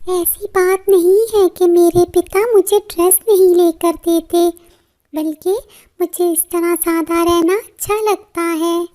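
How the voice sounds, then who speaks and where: A tiny, very high-pitched girl bird from a cartoon moral story. She is a poor but proud schoolchild, a pleading little daughter. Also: steady tone, slow, warm tone. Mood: hurt, defensive.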